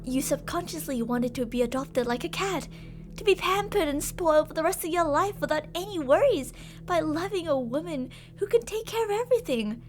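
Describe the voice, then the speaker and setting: A woman's voice: high voice, lively intonation, a little fast, soft background music. A woman playing an obsessive, possessive yandere captor in an ASMR roleplay, intimate and doting with endearments yet menacing. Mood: tender, wistful. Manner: intimate, cooing endearments, drops to whispers. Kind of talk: ASMR roleplay, scripted monologue.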